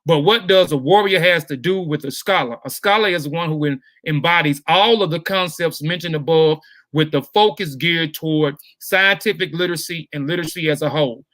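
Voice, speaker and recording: Host with a fairly high voice, dry sound. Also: male voice, no echo. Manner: measured reading, then relaxed and casual. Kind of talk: reading an essay, then hosting a livestream interview.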